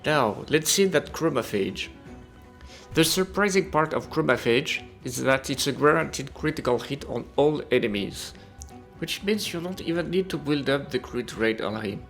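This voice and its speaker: A man's voice: medium pitch, animated, normal speed, creaky, a bit bright. Man narrating a game review over music.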